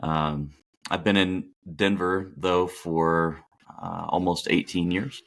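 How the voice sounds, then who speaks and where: A man from the Dallas area of Texas, a hospital chaplain, talking as a podcast guest in a relaxed, reflective way with many fillers and restarts. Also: low voice, takes it slowly. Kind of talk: casual interview talk.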